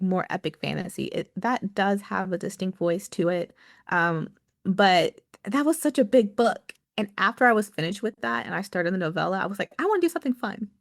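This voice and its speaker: A woman's voice: medium pitch, no echo, clean studio sound. A woman author being interviewed on a podcast, talking chattily with lots of fillers and easy laughter. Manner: chatty, with many likes and ums, laughs easily. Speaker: author guest.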